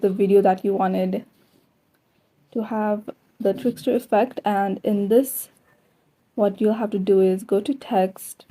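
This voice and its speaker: A woman's voice: mid-range voice, warm tone, husky. A woman narrating a step-by-step tutorial in a plain, instructional manner. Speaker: tutorial host.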